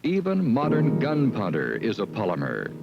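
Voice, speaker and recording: A man giving measured, deliberate scripted narration for an educational training film. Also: medium pitch, wildly swooping pitch, creaky. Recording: dull lo-fi film soundtrack with music.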